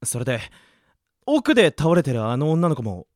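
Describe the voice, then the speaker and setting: A woman playing a brash, exasperated young man with a husky voice in an anime-style radio drama. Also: deep voice, very animated, normal speed, darkish tone, no room sound, clean studio sound. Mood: dry, exasperated.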